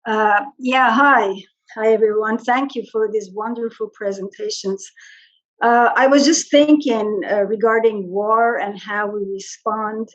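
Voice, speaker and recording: Woman from Iran, hesitant symposium questioner, slow, higher voice, muffled call audio. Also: fairly even intonation. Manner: hesitant, thoughtful, many fillers. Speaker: symposium participant. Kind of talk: spoken question in online discussion. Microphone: video call.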